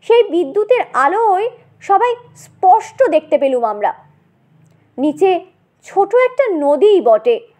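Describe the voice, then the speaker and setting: A woman narrating a comic short story in an expressive, theatrical manner. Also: extremely high pitch, takes it slowly, long pauses.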